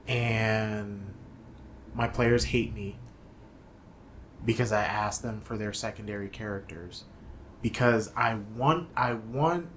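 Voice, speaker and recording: A man's voice: fairly low pitch, fairly bright tone. A man co-hosting a podcast, speaking in casual, conversational banter. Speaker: podcast co-host. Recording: faint ambient room noise.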